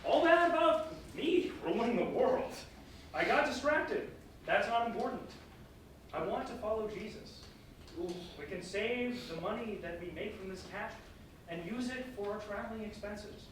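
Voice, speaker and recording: Man acting a high-voiced, emphatic fisherman in echoey hall. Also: animated, a little slow. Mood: earnest. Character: Simon, ambitious boastful fisherman turned flustered fearful disciple. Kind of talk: staged reading, theatrical stage acting. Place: live staged reading in a reverberant room. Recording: echoey hall from far off, faint noise.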